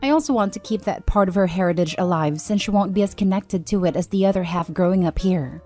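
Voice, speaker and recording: A woman with a fairly low voice narrates written stories in a measured, scripted way. Her words are neatly enunciated. Faint music plays behind her. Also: a little mellow. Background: background music.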